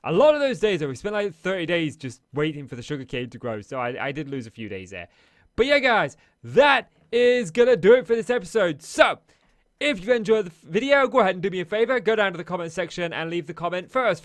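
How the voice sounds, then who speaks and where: High-voiced chatty gaming man. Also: lively intonation, a little fast, dry recording, clean studio sound. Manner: chatty, narrates each action as he plays. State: slightly hoarse, losing his voice. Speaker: Minecraft let's play host. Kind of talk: casual gameplay commentary.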